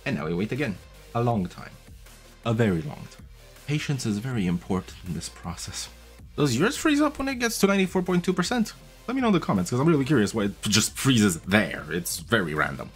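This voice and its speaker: A man in his thirties casually walking viewers through a device setup step by step, chatty and patient, recorded at a desk with a boom mic. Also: very animated, brisk, faint music behind. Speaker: tech video host. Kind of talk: casual tutorial walkthrough. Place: small room at a desk. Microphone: studio mic on a boom arm, wearing headphones.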